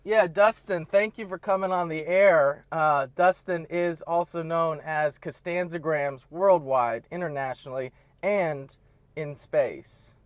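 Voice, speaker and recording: High-voiced man hosting a casual podcast. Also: unhurried, clear, resonant tone, dry recording. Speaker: podcast host. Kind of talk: casual chat. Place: remote video call.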